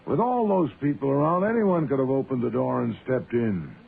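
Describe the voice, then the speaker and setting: A man's voice: medium pitch, lively intonation, normal speed, creaky, breathy edge. A man playing an imperious, proud genius detective in a radio drama, with pompous, precise, formal diction. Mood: haughty, explaining. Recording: muffled, noisy phone-like old radio sound.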